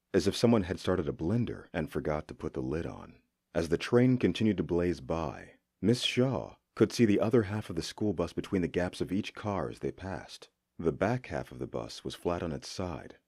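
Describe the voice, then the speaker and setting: Very deep male horror story narrator. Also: husky, no echo, clean studio sound. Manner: suspenseful, expressive delivery. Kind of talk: scripted dramatic narration.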